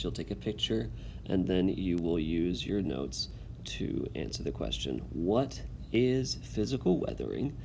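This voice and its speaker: A man teaching in an instructional video, reading a text aloud and pausing to give clear directions and check understanding. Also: on the low side, a little mellow, slight background noise.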